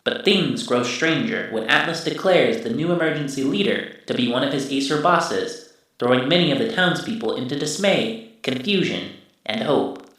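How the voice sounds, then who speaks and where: A man's voice: medium pitch, lively intonation, a bit bright, close to an everyday voice. A man voicing a machine memory system that formally recites past events in a tabletop space game. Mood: formal, detached. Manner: formal, measured recap narration. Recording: distant, heavily echoing processed voice.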